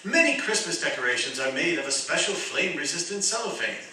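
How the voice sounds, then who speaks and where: A man's voice: on the high side, very animated, fast, pushed and bright. A man reading a scripted advertisement in the style of a 1940s radio spokesman. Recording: very echoey hall, distant mic.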